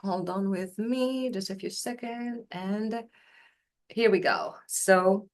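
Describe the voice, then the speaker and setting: A woman's voice: mid-range voice, slow. A woman from Tunisia, a university recruitment officer, giving a webinar presentation over a video call in a chatty way full of fillers and restarts.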